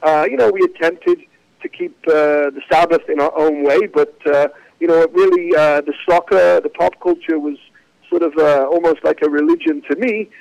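A man, a rabbi talking about his life as a guest on a casual podcast, reflective and often pausing with "uh, you know.". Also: a little high, gravelly, a little mellow, dry recording, muffled recording. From Manchester, England.

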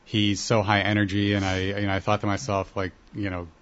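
A man's voice: on the low side, completely flat delivery, fairly quick, a bit bright. A young man from Ohio answering interview questions casually, with many hesitant fillers. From Columbus, Ohio. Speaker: guest. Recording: dull lo-fi broadcast audio.

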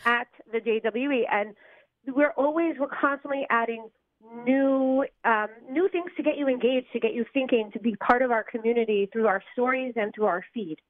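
A woman's voice: fairly high pitch, normal speed, no room sound. Woman on a tinny phone line. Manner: friendly, hesitant, many fillers. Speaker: guest, business owner. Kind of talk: radio interview by phone.

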